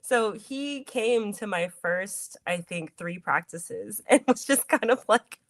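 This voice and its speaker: A woman's voice: medium pitch, lively intonation, slow pace, no echo, studio quality. A woman who wrestles, chatting as an interview guest in an upbeat, giggly way with plenty of "like". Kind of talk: casual interview chat.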